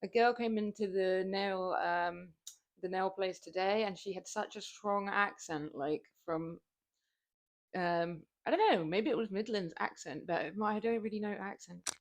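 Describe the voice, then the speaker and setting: A woman's voice: mid-range voice, a little slow. A young woman with a southern English accent, chatting casually with her stream viewers while lifting weights, rambling and laughing often. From southern England. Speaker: fitness streamer.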